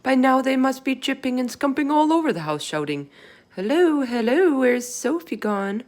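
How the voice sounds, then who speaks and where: A woman voicing a gentle, emotional storybook giant who talks in jumbled grammar and silly invented words. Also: sits high, big pitch swings, moderate pace, pitched above the performer's own voice. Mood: concerned. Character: gentle, tearful, kindly giant with jumbled childlike speech.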